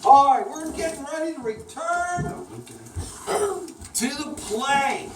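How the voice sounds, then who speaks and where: A woman teaching children in an animated, emphatic way, quizzing them and repeating her questions to the group. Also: high voice, slow, background noise. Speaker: children's Bible teacher hosting a church puppet show. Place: roomy indoor church hall with children. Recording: echoey room, distant mic.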